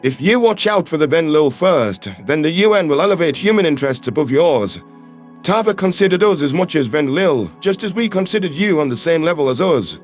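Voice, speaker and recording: A man's voice: fairly high pitch, animated, a little fast, faint music behind, lightly acted. A man acting a loyal human astronaut in a sci-fi audio drama, speaking plainly and firmly. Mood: firm, earnest. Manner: plain-spoken, firm. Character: loyal, frank human male astronaut and diplomatic envoy.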